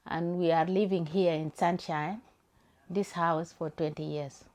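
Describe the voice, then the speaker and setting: An older woman, a grandmother from Sudan, speaking slowly and hesitantly. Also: lowish voice, steady tone, darkish tone. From South Sudan.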